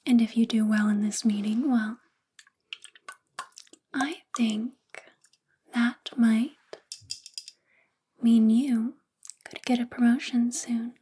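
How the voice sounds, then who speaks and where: A woman plays a possessive, quietly controlling female boss in an ASMR roleplay. Her whisper is breathy and soft, fairly high yet mellow, and she coaxes very slowly and intimately, close to the mic. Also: steady tone. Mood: coaxing, favoring. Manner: slow, intimate, coaxing. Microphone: close ASMR microphone.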